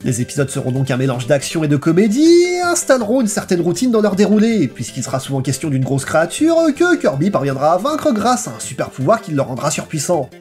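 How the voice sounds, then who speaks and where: A man's voice: a little high, very animated, a little fast, hardly any pauses, a little mellow. A man reading a scripted video essay in a playful, theatrical storytelling manner. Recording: voice-over with music behind. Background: background music.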